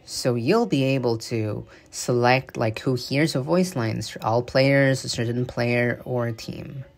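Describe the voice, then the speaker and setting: Gravelly-voiced man explaining game features. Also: mid-range voice, fairly even intonation, unhurried. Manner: conversational, loose with fillers and restarts. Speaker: gaming news video creator. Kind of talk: casual explanatory commentary over gameplay footage.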